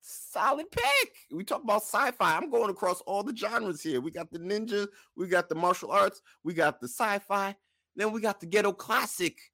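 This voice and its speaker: A man's voice: high voice, lively intonation, moderate pace, vocal fry, pushed and bright, no room sound. A man co-hosting a podcast in casual banter, with an emphatic, mock-incredulous delivery. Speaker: podcast co-host.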